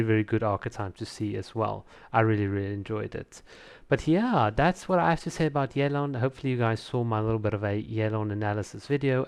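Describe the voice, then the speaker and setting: A man's voice: mid-range voice, creaky, clean tone. A man reviewing a video in casual commentary, repeating words for emphasis.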